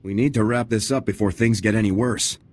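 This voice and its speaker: An actor playing a firm, no-nonsense military squad commander in a video game, authoritative and matter-of-fact. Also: male voice, on the low side, steady tone, fairly quick, darkish tone, lightly acted.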